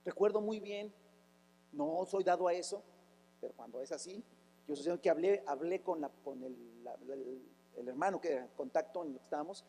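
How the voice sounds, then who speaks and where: A raspy-voiced man preaching with fervor, his voice sitting unusually high for a man. He pushes through rhetorical questions and bursts out in sudden exclamations. The whole sermon rings with a long reverb from the church hall. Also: brisk, mellow. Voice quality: raspy, rough voice. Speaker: pastor.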